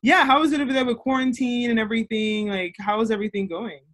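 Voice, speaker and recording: A woman's voice: fairly high pitch, steady tone, fast. A woman born in England who co-hosts a radio show, asking her guest questions in a playful, chatty way. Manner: warm, playful, asks lots of questions. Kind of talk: casual interview chat.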